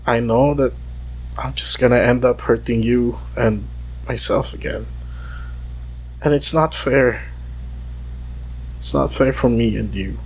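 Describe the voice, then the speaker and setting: A man leaving an intimate, confessional message, halting and wistful, with long pauses. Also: medium pitch, steady tone, breathy edge, poor audio. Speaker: voicemail caller.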